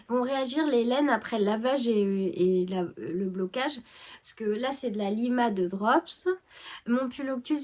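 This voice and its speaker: French woman with a stuffy head cold. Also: on the high side. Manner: hesitant, many fillers and restarts. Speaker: knitting vlogger. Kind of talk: casual chat to camera.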